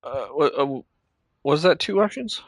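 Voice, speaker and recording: A man reacting casually to a game as a streamer, blunt and halting. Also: fairly high pitch, expressive, no room sound, clean studio sound.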